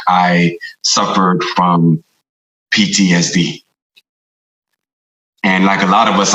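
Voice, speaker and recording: Male actor: haunted American former Marshal, deep voice, bitter self-blame, brooding monologue delivery, echoey room. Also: fairly bright tone. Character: guilt-ridden, self-loathing hard-drinking former US Marshal and veteran. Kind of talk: dramatic confessional monologue. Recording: roomy echo under music.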